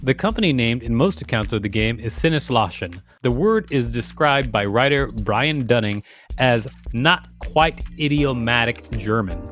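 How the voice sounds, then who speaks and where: A chatty man co-host reads an article aloud and slips in teasing asides. His voice sits at a middling pitch for a man. Faint music hums behind him. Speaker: podcast co-host reading articles. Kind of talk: reading aloud with banter.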